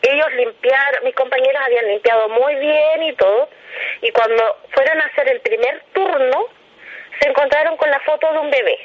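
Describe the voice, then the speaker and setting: High-voiced Chilean woman, slightly muffled recording. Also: moderate pace. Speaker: interviewee. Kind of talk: casual spoken recollection. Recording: dull, lo-fi recorded audio.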